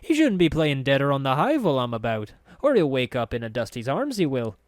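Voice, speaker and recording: A man voicing a menacing older man on a crutch, quiet, silky and dangerous. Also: mid-range voice, huge pitch swings, fairly quick, dry recording, clean studio sound, pitched above the performer's own voice.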